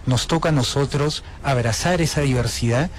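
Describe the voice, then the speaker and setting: A man speaking as an official guest, courteous and formal. His voice is mid-pitched and unhurried. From Peru. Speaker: international organization official.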